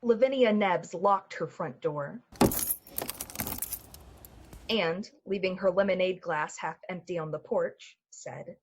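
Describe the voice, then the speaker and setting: A woman narrating a radio play in a measured, atmospheric, dramatic storytelling style. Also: fairly low pitch, muffled recording, background noise. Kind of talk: literary radio-play narration in 1940s manner. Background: music.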